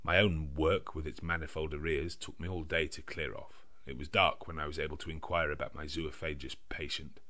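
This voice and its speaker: Man, deep low voice, audiobook narration, measured, literary, careful diction. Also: fairly even intonation, sharp tone. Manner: measured, clearly enunciated, literary. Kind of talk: scripted narration of a gothic novel in diary form.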